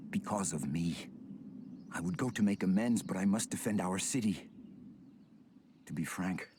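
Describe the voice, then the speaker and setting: An actor playing a grave male elf king weighed down by guilt, speaking in a solemn, measured, commanding way. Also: low-pitched, noisy background, lightly acted. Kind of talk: video game cutscene acting.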